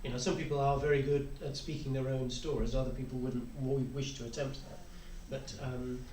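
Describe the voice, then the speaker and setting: A man's voice: mid-range voice, level tone, brisk, darkish tone, recorded from a distance. A man on a panel giving casual, practical advice from his own experience. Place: indoor convention panel room. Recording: echoey room from off mic, faint noise.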